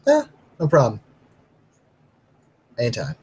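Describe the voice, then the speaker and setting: A man's voice: mid-range voice, huge pitch swings, slow pace, darkish tone, husky, muffled recording, full character voice. A man voicing a laid-back young male werewolf who speaks in an easygoing, casual way.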